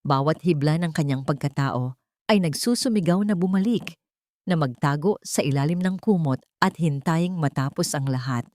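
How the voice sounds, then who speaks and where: Low-pitched Filipino woman narrating, dry close sound. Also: moderate pace, a little mellow, no echo. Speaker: story narrator. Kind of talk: scripted dramatic audiobook narration.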